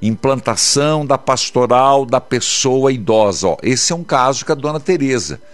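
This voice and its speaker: A man, a priest hosting a call-in program, who counsels callers fervently and rises sharply in pitch when he exhorts them. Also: expressive, moderate pace, no echo.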